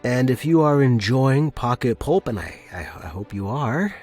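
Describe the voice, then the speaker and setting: A man's voice: medium pitch, lively intonation, moderate pace, over music. A man hosting a fiction podcast and narrating short stories. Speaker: podcast host and story narrator. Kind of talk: casual intro and scripted audiobook narration.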